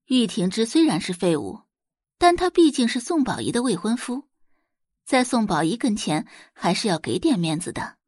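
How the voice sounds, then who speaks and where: A woman's voice: fairly high pitch, big pitch swings, moderate pace, a little mellow, no room sound, studio quality. A woman narrating a novel as an audiobook, in a dramatic scripted storytelling style.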